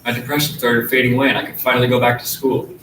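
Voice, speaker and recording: A young performer reciting spoken word poetry in a rapid, flat way. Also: medium pitch. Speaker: student poetry slam performer. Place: school hall stage. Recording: echoey hall from far off, noise.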